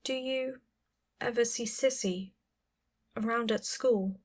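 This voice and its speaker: Woman narrator as warm older female cousin, wistful, gentle, careful delivery, storybook dialogue. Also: on the high side, takes it slowly. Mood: tentative. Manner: gentle, careful, murmured at times.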